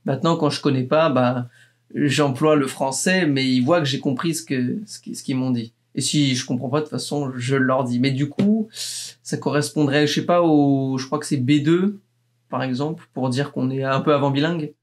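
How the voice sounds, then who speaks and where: A young man answering interview questions casually, pausing often with fillers and restarting sentences. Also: mid-range voice, fairly even intonation. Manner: hesitant, many fillers and restarts, laughs often. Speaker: guest, accent imitator.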